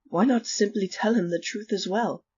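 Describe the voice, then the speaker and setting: A woman voicing an earnest, polite male bartender with formal, measured speech in a fan comic dub. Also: medium pitch, mellow. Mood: concerned. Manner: formal, measured, careful diction. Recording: muffled husky recording with faint music.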